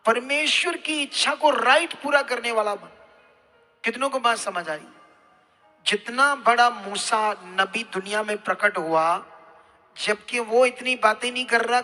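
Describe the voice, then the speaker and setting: A man's voice: very high voice, sharp tone. A man preaching a sermon to a congregation, speaking emphatically and putting questions to his listeners. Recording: hall reverb, music behind.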